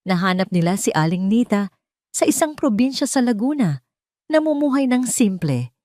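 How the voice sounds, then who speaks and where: A woman's voice: fairly high pitch, very animated, normal speed, a little mellow, dry recording, clean studio sound. A woman with a Filipino accent reading a scripted, dramatic love story as narrator.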